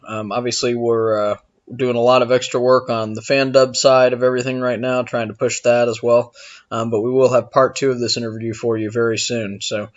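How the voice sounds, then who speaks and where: Man, podcast host, medium-pitched voice, casual hesitant phrasing, dull lo-fi recording. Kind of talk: casual podcast intro and interview talk.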